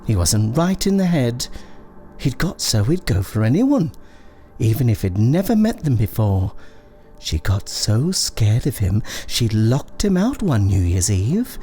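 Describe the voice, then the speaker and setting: A man voicing a gossipy, uneasy woman in a horror story reading, talking in a quickened conversational way. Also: medium pitch, very animated, mellow, faint music behind, pitched above the performer's own voice.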